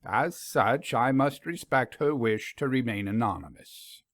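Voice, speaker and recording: Male narrator as an English gentleman professor, polished accent, courteous, composed, dry close sound, audiobook character. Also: medium pitch, animated, measured pace, dry recording. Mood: guarded.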